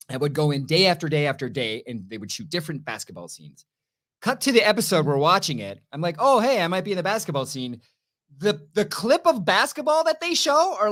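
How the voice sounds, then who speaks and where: A man's voice: a little high, a bit bright. A man co-hosting a TV review show, telling stories in a casual, playful, emphatic way with exclamations. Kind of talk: casual banter and storytelling.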